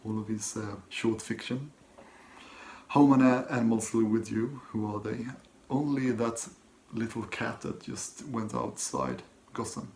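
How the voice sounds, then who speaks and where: A Swedish man with a Swedish accent, speaking English as his second language, who reads viewer questions aloud and answers them softly and hesitantly from his desk at home. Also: lowish voice, lively intonation, unhurried, gravelly, a little hiss or hum. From Sweden. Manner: soft-spoken, hesitant, many ums and restarts. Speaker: book channel host. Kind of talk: casual Q&A vlog, reading and answering questions.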